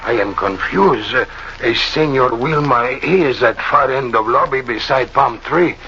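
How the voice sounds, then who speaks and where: An actor playing a confused, apologetic hotel clerk with a Spanish accent and halting broken English, in old radio drama style. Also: male voice, mid-range voice, pushed and bright. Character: confused, apologetic South American hotel clerk, small man. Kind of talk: 1940s radio drama acting. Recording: dull, noisy old radio recording.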